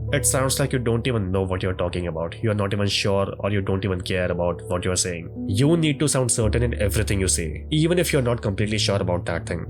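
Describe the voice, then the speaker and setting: A man whose first language is not English, narrating a scripted speaking tutorial in a measured, deliberate way, his nose blocked from a cold. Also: on the low side. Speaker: speaking coach.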